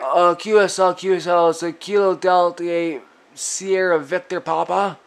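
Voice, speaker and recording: A man's voice: high-pitched, level tone, normal speed, gravelly. A man working as an amateur radio operator, trading call signs and signal reports and repeating his phrases. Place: indoors at a radio desk. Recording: noisy room with radio static. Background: radio hiss and static.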